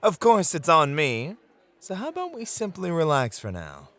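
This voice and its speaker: A man's voice: fairly high pitch, wildly swooping pitch, moderate pace, a bit bright, full character voice. A man playing a charming, gallant club host in an ASMR roleplay, flirty and teasing in an effusive, courtly way. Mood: warm, teasing.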